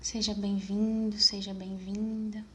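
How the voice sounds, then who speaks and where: A woman with a Brazilian accent narrating a guided meditation in measured, unhurried phrases with pauses. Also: medium pitch, level tone, a little hiss or hum.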